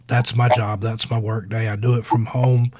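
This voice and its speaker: A man with a scratchy, hoarse voice talks loosely and casually, wandering from one thought to the next. He pokes fun at himself without much fuss. The sound is dull and dry, like an online call. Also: lowish voice, flat delivery, moderate pace, a little mellow, no echo. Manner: rambling, easygoing, self-deprecating. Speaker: guest author and narrator. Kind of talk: casual live-stream interview chat.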